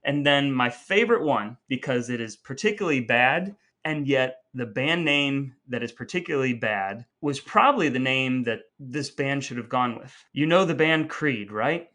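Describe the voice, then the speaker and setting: A man's voice: mid-range voice, expressive, normal speed, few pauses, sharp tone. A man co-hosting a podcast in casual, humorous chat, with a wry, mock-serious way of talking. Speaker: podcast co-host.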